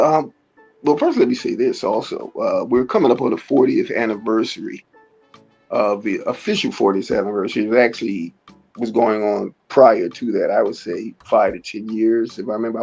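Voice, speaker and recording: A middle-aged man talks in a gravelly, raspy voice, pausing often and restarting his sentences as he answers questions. His speech sounds dull and lo-fi, with faint music underneath. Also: fairly low pitch, a little mellow. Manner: halting, many fillers and restarts. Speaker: martial arts teacher, interview guest. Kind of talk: casual conversational interview.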